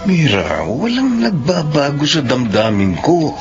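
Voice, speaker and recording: An actor playing a young, reassuring fiancé with a calm, reasoning manner. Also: male voice, fairly high pitch, unhurried, exaggerated voice. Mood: gentle. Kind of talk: radio drama acting. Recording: dull lo-fi with clear music.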